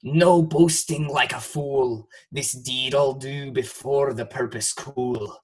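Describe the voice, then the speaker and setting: A man playing a grim, murderous king in a Shakespearean audio play, declaiming deliberately. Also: mid-range voice, fairly even intonation, husky, soft background music. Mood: menacing. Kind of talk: stage-style Shakespearean acting. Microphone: video call recording.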